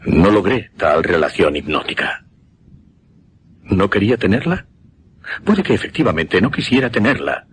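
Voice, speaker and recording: Intense mesmerist, deep voice, sweeping intonation, Castilian Spanish accent, dramatic pauses, suspense radio play. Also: male voice, big pitch swings, a little hiss or hum. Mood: solemn, deliberate. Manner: deliberate, dramatic pauses, emphatic repetition. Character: intense male mesmerist recounting his macabre experiment.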